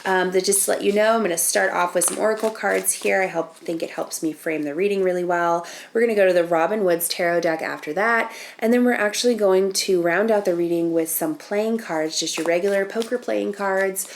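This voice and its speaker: A woman's voice: medium pitch, a little fast, few pauses, a little hiss or hum. A woman hosting a casual tarot reading, nasal and sniffly from a cold, chatty and full of ums and restarts as she talks through each card. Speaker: tarot reader and channel host.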